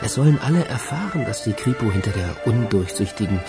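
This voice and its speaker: Easygoing, sly male cop for crime radio drama: gravelly, teasing, music underneath. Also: lowish voice, sing-song, fairly quick. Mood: teasing, businesslike. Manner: teasing, conspiratorial.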